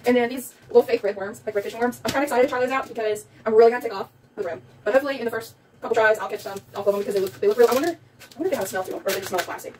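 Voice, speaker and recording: A woman's voice: on the high side, racing. A young woman with a South Louisiana accent chatting casually through a haul video at home, rambling with lots of ums. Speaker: vlogger. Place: indoors at home, a small room with a table. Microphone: phone camera set back on the table. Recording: distant phone mic, faint room noise.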